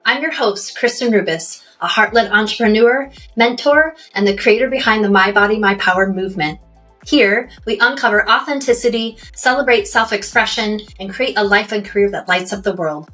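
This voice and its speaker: A woman hosting a podcast, speaking in a soft, affirming and encouraging way. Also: medium pitch, normal speed, soft background music, rough recording. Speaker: podcast host. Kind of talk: podcast interview.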